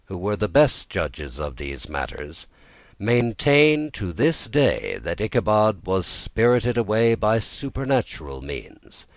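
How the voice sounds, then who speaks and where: Very deep-voiced man narrating a bedtime story. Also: no room sound. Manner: gentle, measured, literary storytelling. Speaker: audiobook narrator.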